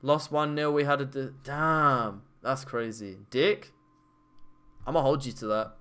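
A man's voice: medium pitch, steady tone, unhurried, a bit bright, a little hiss or hum. A man in his twenties reacting casually on a stream, bantering loosely and profanely with his chat over a headset mic. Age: 20s.

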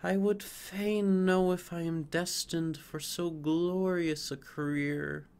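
A man's voice: on the high side, lively intonation, unhurried, darkish tone, voice pushed up. A man voicing a restless young fir tree that yearns to be grown and carried off, speaking in eager exclamations. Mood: yearning. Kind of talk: storybook reading.

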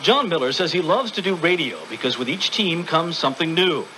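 A man's voice: on the high side, expressive, a little fast, sharp tone, a little hiss or hum, low-quality recording. A man reading scripted narration as the narrator of a radio program. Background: music.

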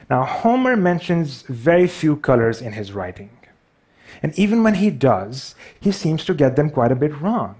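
A man's voice: medium pitch, darkish tone, husky. Wry man with a Pakistani accent. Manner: wry, self-deprecating humor. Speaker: speaker. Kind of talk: stage talk. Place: large theater stage. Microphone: headset.

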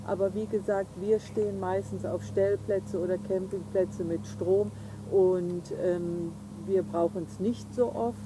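A woman's voice: medium pitch, steady tone, a little slow, background noise. Chatty older woman outdoors by a camper. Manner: chatty, frequent fillers, finishing each other's sentences. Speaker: camping vlog co-host. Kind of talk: casual chat to camera. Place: outdoors on a campsite lawn beside a camper van. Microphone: camera mic from a few meters.

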